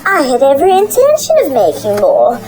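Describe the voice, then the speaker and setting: A woman's voice: high-pitched, wildly swooping pitch, brisk, a little mellow, rough recording. An actress playing a flustered, indignant village baker woman in a comic radio drama. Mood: indignant, defensive.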